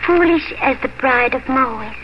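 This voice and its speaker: A woman's voice: sits high, moderate pace, lightly acted. An actress playing a scornful, foreboding woman who tells legends in an ominous, incantatory way in a 1950s radio drama. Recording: dull, noisy old radio recording.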